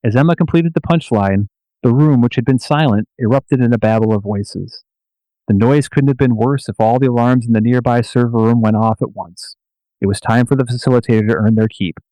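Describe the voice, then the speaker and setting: A man narrating a scripted radio play in a measured, portentous storytelling manner. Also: medium pitch, dark tone, no echo, rough recording.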